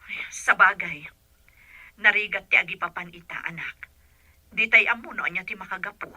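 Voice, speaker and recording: An actress playing a worried, loving mother of grown sons in a radio drama, soft-hearted and sighing. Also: mid-range voice, normal speed, no echo. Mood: worried, tender.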